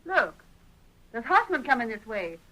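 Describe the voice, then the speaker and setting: A woman's voice: fairly high pitch, sing-song, normal speed, pushed and bright, exaggerated voice, rough recording. An actress playing a spirited, talkative old frontier woman with a folksy American accent, in 1940s radio western style. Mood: gossipy. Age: older. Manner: folksy, exclamatory.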